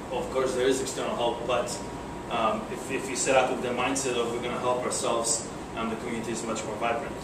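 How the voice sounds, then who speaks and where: A young man with a Polish accent giving a casual presentation at a breakfast meeting, hesitant, with many fillers and restarts. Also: medium pitch. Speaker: guest speaker. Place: conference room, speaking to a seated audience. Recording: echoey room, far from the mic, air conditioning hum.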